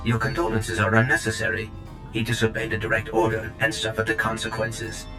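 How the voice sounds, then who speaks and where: A man's voice: fairly low pitch, monotone, fairly quick, close to an everyday voice. A man playing a coolly formal, loyal military droid in a sci-fi game, clipped and unemotional. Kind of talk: scripted video game dialogue. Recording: music behind the voice.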